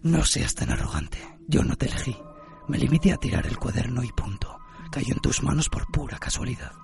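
Guy doing a bored mocking death demon, gravelly fry, theatrical, radio play w music under it. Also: medium pitch, sing-song, a little fast, a little mellow. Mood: mocking, eerie. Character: amused, taunting death spirit, a bored male shinigami. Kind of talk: anime radio drama acting.

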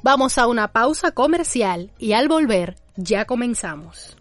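A woman's voice: on the high side, expressive, unhurried, faint music behind. A woman with a Cuban accent co-hosting a podcast in casual, bantering chat. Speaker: podcast co-host.

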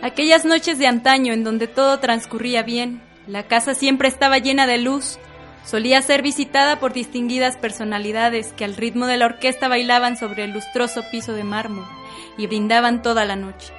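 A young Mexican woman reads scripted radio drama narration. Her voice is on the high side, with soft music behind it. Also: fairly even intonation, normal speed. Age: young adult.

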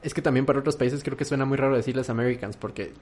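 A man's voice: medium pitch, fairly even intonation, rapid-fire, a little mellow. A man with a Mexican accent co-hosting a podcast in casual chat, playful and dropping English phrases. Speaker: podcast co-host.

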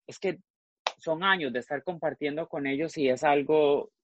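A man's voice: fairly high pitch, normal speed, dull audio, background noise. A man in his forties from Costa Rica, a guest frankly telling his personal story, often trailing off and restarting. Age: 40s.

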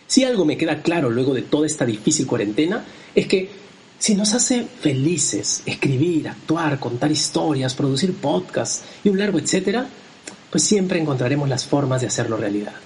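A man's voice: fairly high pitch, sing-song, normal speed, darkish tone, a little hiss or hum. A man host and director introducing a radio play in a heartfelt, scripted way.

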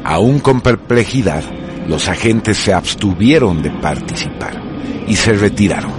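A man's voice: lowish voice, expressive, unhurried, background noise. A man reading scripted dramatic narration for a radio serial in a grave, formal manner.

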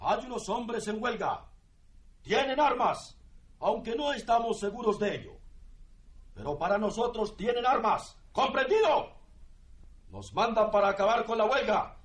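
A man's voice: high-pitched, expressive, unhurried, pauses often. A man playing an authoritarian military officer who barks orders to his troops in a radio drama. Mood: stern, commanding. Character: commanding military officer briefing troops against strikers. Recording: roomy, echoing sound.

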